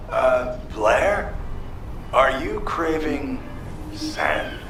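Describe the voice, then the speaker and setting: A man's voice: medium pitch, sing-song, extremely slow, over music, heavily acted. A man voicing a friendly, eager construction corps veteran in a video game, chatty and earnest, in a roomy, noisy recording. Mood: friendly, amused.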